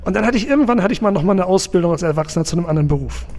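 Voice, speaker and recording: A man's voice: sits high, animated, very fast, darkish tone, long reverb tail. A man from Hamburg, a stand-up comedian, telling a story in an interview in a wry way. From Hamburg, Germany. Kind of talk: interview answers.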